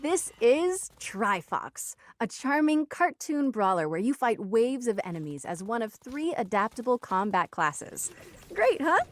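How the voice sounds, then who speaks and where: A woman's voice: fairly high pitch, sing-song, measured pace. A woman co-hosting a video game showcase with scripted comedy and a wry, teasing manner. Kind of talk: scripted comic presenting.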